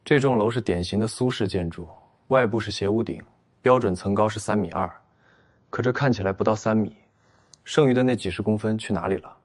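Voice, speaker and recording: A cool, clever man played by an actor with a fairly low voice. He speaks with restraint, in the style of an animated detective drama. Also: fairly quick, close to an everyday voice. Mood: serious. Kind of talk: audio drama acting for animated comic.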